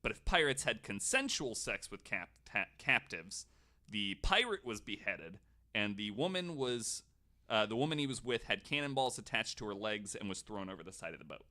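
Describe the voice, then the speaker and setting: A man's voice: fairly low pitch, very animated, moderate pace, bright, forceful tone. A man hosting a podcast, casually telling a story from notes with wry, jokey asides.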